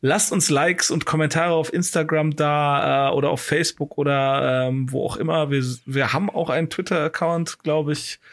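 A man's voice: on the high side, steady tone, normal speed, few pauses, fairly bright tone. A man co-hosting a casual music podcast, talking in a rambling, opinionated way with many fillers. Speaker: podcast co-host.

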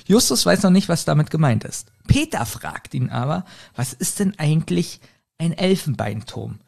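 A man co-hosting a podcast, retelling a plot in casual chat and haltingly reading out clues with amusement. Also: fairly high pitch, very animated, gravelly, a little mellow. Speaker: podcast co-host. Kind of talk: casual chat retelling a kids' audio drama.

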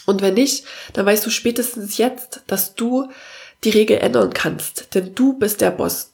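German woman with a cold, gravelly voice. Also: medium pitch, a little mellow. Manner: earnest, encouraging, with many filler sounds. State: has a cold. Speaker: podcast host and parenting coach. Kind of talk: casual spoken monologue.

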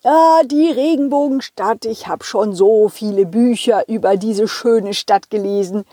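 Woman voicing a high-pitched hesitant bookworm. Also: unhurried, mellow, no echo, studio quality. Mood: curious. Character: hesitant, apologetic bookworm parent peering out of home.

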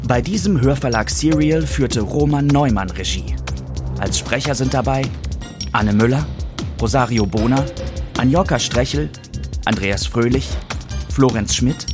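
A man reading a scripted podcast outro as announcer, with credits-style narration. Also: mid-range voice. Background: music.

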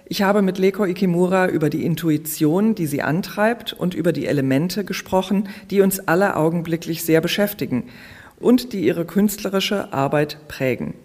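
An interviewer speaking in an articulate, measured way, as in an arts feature. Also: medium pitch, darkish tone. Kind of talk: arts interview with scripted introduction.

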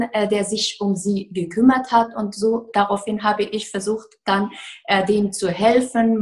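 A woman, a defense lawyer giving earnest, hesitant interview answers full of fillers. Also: mid-range voice. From Afghanistan.

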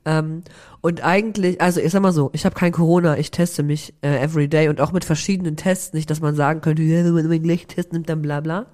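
A woman co-hosting a casual faith podcast while sick with a cold. Her voice is hoarse and cracks, her nose is blocked, and she talks in a chatty, slangy way. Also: deep voice, a little mellow. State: congested with a cold. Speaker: podcast co-host. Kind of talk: casual chat. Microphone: remote recording from an office.

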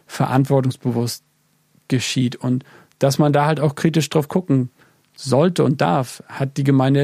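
A man's voice: medium pitch, vocal fry, mellow, no echo, clean studio sound. A man from Germany talking reflectively in a casual podcast conversation, thinking aloud with many fillers. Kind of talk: casual conversation about worship music.

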